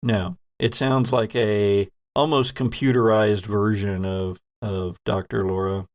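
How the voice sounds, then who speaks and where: A man narrating a tabletop role-playing game as game master, in a measured, descriptive way. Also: fairly low pitch, steady tone.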